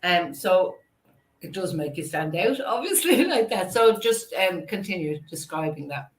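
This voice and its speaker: An Irish woman hosts a casual online interview in a slightly low voice. She takes her time and thinks aloud, affirming as she goes. The room around her sounds noticeably echoey. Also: very animated, measured pace, slight background noise. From Ireland. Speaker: interview host.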